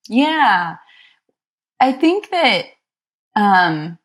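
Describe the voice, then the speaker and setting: A young adult woman therapist, born in Egypt, speaking slowly and reflectively as an interview guest, pausing often with soft ums. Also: mid-range voice, very animated. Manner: soft, thoughtful, many pauses and ums.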